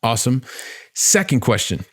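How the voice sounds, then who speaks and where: A man hosting a podcast in a conversational interview style, with wordy, halting phrasing and catchphrases. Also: mid-range voice, sing-song, a bit bright, husky. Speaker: podcast host.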